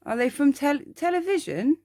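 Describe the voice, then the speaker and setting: A woman voicing an amused, kindly young man who asks gentle, indulgent questions in an ASMR bedtime story. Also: sits high, sing-song, moderate pace, dry recording, clean studio sound, pitched above the performer's own voice. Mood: curious.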